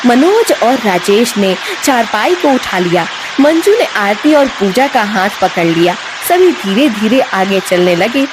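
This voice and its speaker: A woman's voice: mid-range voice, very animated, a little slow, few pauses, noisy background. A woman narrator reading a scripted children's story.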